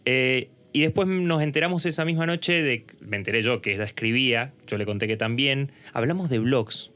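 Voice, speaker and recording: A man's voice: mid-range voice, normal speed, a bit bright. Male podcast host, Argentine Spanish accent, mid-range voice, conversational, informal interviewing. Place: home studio.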